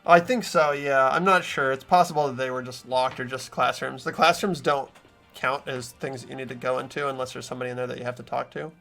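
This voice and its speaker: A man hosting a game playthrough, switching between casual commentary and expressive reading of the narration. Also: mid-range voice, fast talker, creaky, faint music behind.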